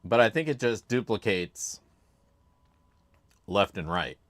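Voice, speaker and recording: A chatty man hosts his own livestream from a home setup, reading out names from the chat. His voice is loud and sits fairly low, but it rises and falls a lot. The desk mic sounds close and dry. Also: animated, slow pace, no echo. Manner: chatty, laid-back, reads chat names aloud. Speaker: livestream host. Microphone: desk microphone.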